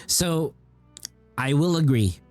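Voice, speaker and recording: A man's voice: fairly high pitch, expressive, slow pace, darkish tone. A man, casually rambling as a game streamer and repeating phrases for emphasis. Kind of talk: casual livestream chat about games. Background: music.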